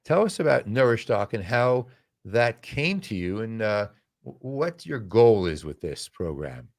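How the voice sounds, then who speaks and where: A man with a fairly low voice co-hosts an interview and asks his guest curious, probing questions in a conversational way. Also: sing-song, measured pace, a little mellow. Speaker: talk show co-host.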